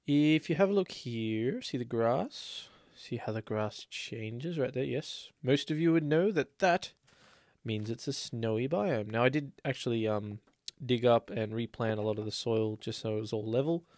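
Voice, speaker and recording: A man's voice: medium pitch, normal speed, darkish tone, no room sound, clean studio sound. A man with a slight cold, chatting casually and rambling as he plays a building game. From Australia. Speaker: let's play host. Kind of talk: casual gameplay commentary.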